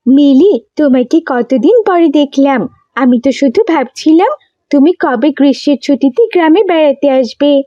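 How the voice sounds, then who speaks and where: Woman voicing a very high-pitched cheerful little girl. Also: normal speed, dark tone, no room sound, muffled recording, lightly acted. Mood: cheerful, eager. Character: cheerful, pious little village girl on summer holiday. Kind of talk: children's Islamic cartoon dialogue.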